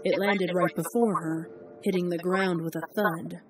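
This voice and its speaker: A woman narrating a fanfiction story in a measured, dramatic way. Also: lowish voice, fairly even intonation. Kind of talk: audiobook fanfic reading. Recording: distant voice over loud music.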